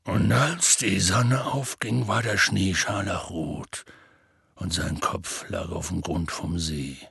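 A man voicing a big, rough, weary half-giant who tells his tale in a plainspoken, rambling, colloquial way, husky and gravelly, for an audiobook. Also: sits low, steady tone, slow pace, fairly bright tone, no echo, clean studio sound, heavily acted. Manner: colloquial, rambling, matter-of-fact storytelling. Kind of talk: audiobook character dialogue.

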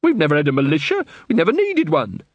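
A man's voice: a little high, wildly swooping pitch, fast, husky, dry recording, muffled recording, pitched above the performer's own voice, full character voice. A man voicing an irritable, scornful guard sergeant with a blunt, exasperated manner, in an audiobook fantasy story. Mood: indignant.

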